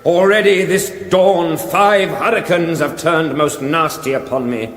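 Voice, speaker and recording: Weary fantasy wizard, raised pitch, unhurried, solemn, theatrical radio comedy. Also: male voice, steady tone, crowd noise. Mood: weary alarm. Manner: solemn archaic declaiming. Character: earnest, weary wizard who charms the winds. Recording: echoey hall reverb.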